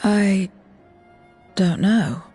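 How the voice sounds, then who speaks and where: A world-weary woman from a void realm in a video game. She speaks bluntly and tersely, with a deadpan delivery. Music plays behind her. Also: mid-range voice, extremely slow, breathy edge. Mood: flat, disdainful. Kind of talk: video game cutscene acting.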